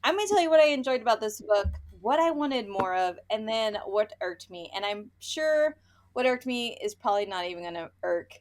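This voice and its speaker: A woman's voice: mid-range voice, animated, a little fast, dry recording, faint music behind. A woman co-hosting a podcast, chatting casually about books in a playful, informal, gushing way. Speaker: podcast co-host. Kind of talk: casual book review chat.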